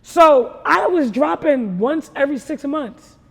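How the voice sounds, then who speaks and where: A young woman with a naturally raspy voice talks about her life in a relaxed interview, her stories emphatic and full of slang. Her voice rings with a long reverb, as if she is in a big hall. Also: sits high, measured pace. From New York. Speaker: rapper guest. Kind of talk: casual interview talk.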